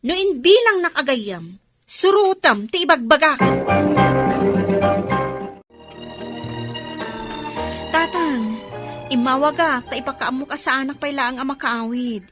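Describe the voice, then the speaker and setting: A woman acting an earnest, soft-hearted young student in a radio drama, with emotional delivery. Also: sits high, expressive, normal speed, over loud music. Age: young adult.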